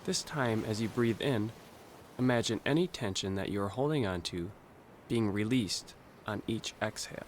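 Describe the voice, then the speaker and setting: Calm man guiding a meditation. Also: fairly low pitch, slight background noise. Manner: calm, gentle, instructional with pauses. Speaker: guided meditation narrator. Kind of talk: scripted guided meditation.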